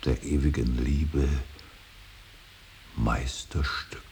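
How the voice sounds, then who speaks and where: An extremely deep-voiced older father broods over his grief in a solemn radio drama. He declaims very slowly and haltingly, weighing each phrase and often sinking into a breathy whisper. There is a slight hiss on the recording. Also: fairly even intonation, a little mellow, heavily acted. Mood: hushed, musing. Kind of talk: German radio drama acting, solemn monologue. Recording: hissy, faintly noisy radio recording.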